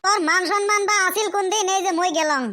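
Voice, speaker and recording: A man's voice: very high-pitched, fast, sharp tone. A man voicing a cheeky, scheming village son in a comic cartoon. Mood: defiant. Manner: cheeky, argumentative.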